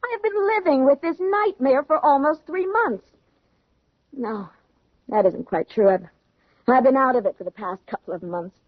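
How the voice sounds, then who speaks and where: A woman's voice: high-pitched, moderate pace, no room sound. An actress playing a proud, emotional young woman in a radio drama, indignant and theatrical. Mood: bitter, weary. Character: proud, defiant, distressed young engaged woman. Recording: dull vintage radio recording.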